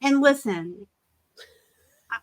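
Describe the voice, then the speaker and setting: A woman's voice: on the high side, animated, takes it slowly. A woman in her forties co-hosting a casual live chat, blunt and joking as she tells a story. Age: 40s. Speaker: co-host of a reseller live chat.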